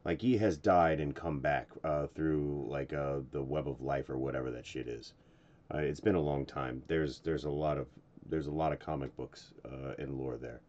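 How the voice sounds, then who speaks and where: A middle-aged man giving casual reaction commentary, musing haltingly with some mild swearing. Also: rumbling low, steady tone, muffled recording, noisy background. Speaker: reaction video host. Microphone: desk microphone close by.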